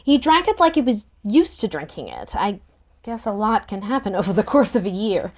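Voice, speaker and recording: A woman narrating a first-person novel in a relaxed, expressive table-read style. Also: mid-range voice, moderate pace.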